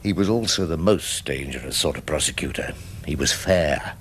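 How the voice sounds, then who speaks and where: An actor playing a wry, shrewd older English defence barrister, husky and dry, narrating his case in a radio drama. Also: extremely low pitch, a bit bright, a little hiss or hum. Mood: gloomy, reflective. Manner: dry, sardonic, measured.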